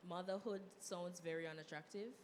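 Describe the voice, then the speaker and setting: Hoarse sick-sounding girl, 21, says it straight, echoey hall, bit of noise. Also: lowish voice, steady tone, brisk. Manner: frank, blunt. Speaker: university student panelist. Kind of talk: live panel discussion. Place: event hall, live audience. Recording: hall reverb with faint noise.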